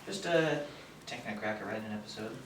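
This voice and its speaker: A man's voice: fairly low pitch, animated, fast talker, recorded from a distance, lightly acted. A man playing a timid, self-deprecating aspiring scriptwriter in a stage play, hesitant and stammering. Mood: guarded, awkward. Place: theater stage, distant mic. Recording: echoey stage recorded from afar, noisy.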